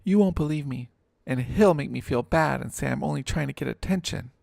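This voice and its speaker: Man voicing an anxious, pleading young girl, pitched up. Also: brisk, creaky, darkish tone, no echo, clean studio sound. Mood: reluctant, scared. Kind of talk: audiobook character dialogue.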